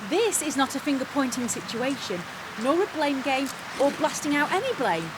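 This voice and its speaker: A woman's voice: high-pitched, very animated, moderate pace. An actress playing a bossy, self-important, gossiping woman manager in an audio comedy drama, officious and patronising. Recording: clear rain and outdoor ambience noise.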